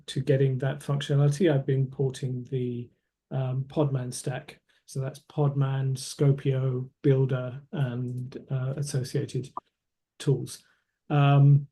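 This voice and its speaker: Middle-aged developer, husky, very dark, flat, measured technical talk. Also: male voice, mid-range voice. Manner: measured, technical, many fillers. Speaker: long-time open-source developer. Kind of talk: casual self-introduction on a video call. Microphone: video call.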